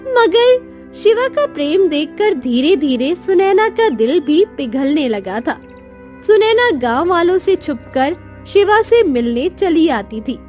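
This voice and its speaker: Woman storyteller, really high voice, slow scripted narration, soft music behind. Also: big pitch swings.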